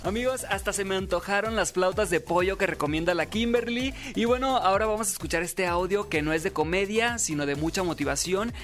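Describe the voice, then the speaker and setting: A man's voice: high voice, brisk, hardly any pauses, fairly bright tone, soft background music. A man from Sinaloa with a Sinaloan accent, hosting a radio show with enthusiastic, exclamatory chat. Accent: Sinaloan Spanish. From Sinaloa, Mexico. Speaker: radio host.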